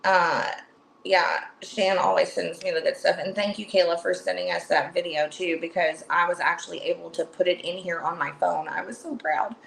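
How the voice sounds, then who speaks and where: A woman's voice: fairly low pitch, steady tone, moderate pace, gravelly, fairly bright tone, a little hiss or hum, low-quality recording. A woman hosting a casual livestream chat, chatty, with lots of fillers and restarts. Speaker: livestream host.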